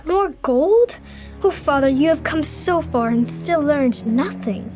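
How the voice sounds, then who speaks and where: An actress playing an earnest, principled young princess who speaks in formal storybook phrases. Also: female voice, sits high, expressive, takes it slowly, a little mellow, soft background music. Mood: reproachful.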